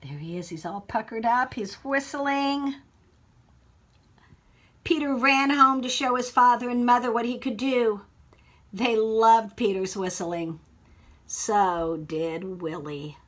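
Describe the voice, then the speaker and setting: A woman reading a story aloud to children like a teacher, expressive, with explanatory asides and questions for young listeners. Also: fairly high pitch. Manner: expressive, child-directed, pausing to ask questions.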